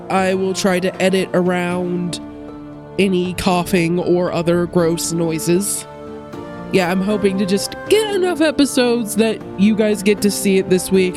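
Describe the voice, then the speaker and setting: Congested woman chatting over gameplay. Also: lowish voice. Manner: chatty, self-correcting, thinking aloud. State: congested from a cold. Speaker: let's play host. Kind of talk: casual gameplay commentary.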